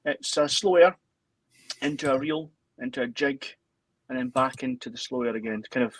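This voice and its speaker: Husky Scottish man, closely recorded. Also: medium pitch, moderate pace, no room sound, poor audio. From Dundee, Scotland. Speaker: co-host and tune composer. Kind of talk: casual live chat.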